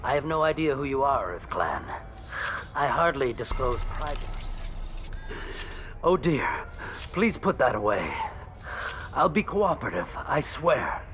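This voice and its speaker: A man's voice: mid-range voice, very animated, measured pace, long pauses, a bit bright. A man voicing a small, shifty alien merchant in a video game, with fussy, wheedling salesman patter. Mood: smug, dismissive, then nervous pleading. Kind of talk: video game character acting.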